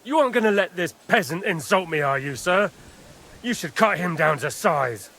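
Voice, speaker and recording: Proud military nobleman, animated intonation, higher-pitched, defensive, emphatic, video game drama. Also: normal speed, gravelly, full character voice. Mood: outraged. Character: proud, blustering, indignant medieval camp commander.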